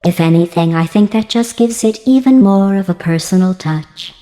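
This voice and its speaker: A woman voicing a passive-aggressive female artificial intelligence in a video game, deadpan and mock-sweet, with synthetic robotic processing. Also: mid-range voice, moderate pace, long reverb tail, soft background music. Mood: dry sarcasm. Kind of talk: scripted video game dialogue.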